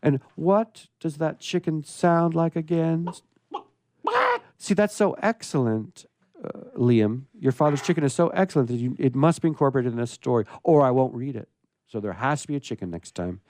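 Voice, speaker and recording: A man's voice: mid-range voice, normal speed, warm tone, no echo. Man hosting, playful, dry studio sound. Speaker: radio show host. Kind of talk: reading a humorous story and chatting on a live radio theatre show.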